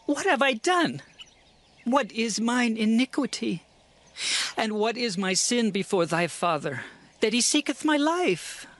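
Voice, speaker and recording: A man's voice: very high-pitched, big pitch swings, unhurried, fairly bright tone, exaggerated voice. A man playing a troubled, earnest young fugitive of ancient Israel in a dramatized scripture reading, with formal archaic phrasing. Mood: anguished.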